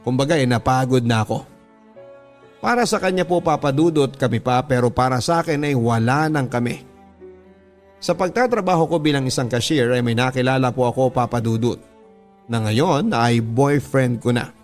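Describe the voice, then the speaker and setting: A man's voice: medium pitch, normal speed. A Filipino man narrates a scripted radio drama in the first person, as if reading out a listener's letter. His mid-range voice is earnest and sentimental, with polite storytelling phrasing. Music plays faintly behind him. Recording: music bed under the voice. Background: background music.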